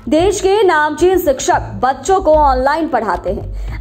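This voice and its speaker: A woman narrates a scripted news report in a high-pitched voice. She works as a voice-over reporter, reading a feature story, with music playing behind her. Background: background music.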